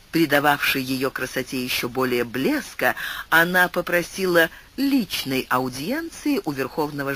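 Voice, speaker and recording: A man's voice: a little high, pushed and bright. A man narrating a classic tale for an audiobook, reading expressively. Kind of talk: scripted narration.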